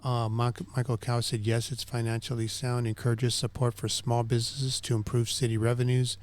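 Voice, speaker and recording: A man's voice: mid-range voice, flat delivery, normal speed, a little mellow. A man hosting a community recap, speaking from notes in a semi-scripted way and stumbling now and then over names and words. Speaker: host of a community recap show.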